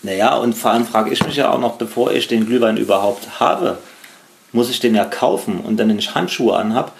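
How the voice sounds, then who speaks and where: A man's voice: on the low side, fairly quick, gravelly, a little hiss or hum. A man hosting a casual fan podcast, teasing his co-hosts with playful questions. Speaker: podcast host.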